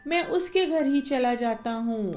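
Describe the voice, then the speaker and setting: A lazy male parrot grumbles and complains in a lowered, whiny voice, as a woman storyteller puts him on. He talks slowly, with soft music behind him, in a cartoon bedtime story. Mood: grumbling.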